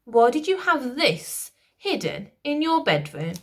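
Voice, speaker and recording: A woman's voice: high voice, big pitch swings, unhurried, a bit bright, pitched above the performer's own voice, heavily acted. A smarmy, scheming male courtier from a storybook, read by a woman in her usual voice. He talks in a pompous, falsely soothing way, with a slightly raised tone. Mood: accusing. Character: smooth scheming male Lord Protector, older courtier.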